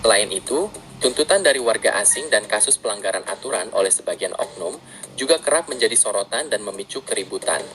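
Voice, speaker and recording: A man reading scripted news narration in an even, formal delivery. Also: fairly low pitch, a little fast, crowd noise.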